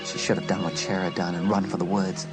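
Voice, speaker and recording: A man with a lowish voice reads a horror story as a dramatic audiobook narrator. His delivery is suspenseful, and loud music plays behind him. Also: little pitch movement, quick pace.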